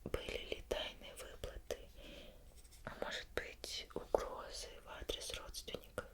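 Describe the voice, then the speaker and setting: Woman, soft whisper, ASMR roleplay, formal interviewer, gentle, unhurried questioning, deliberate pauses.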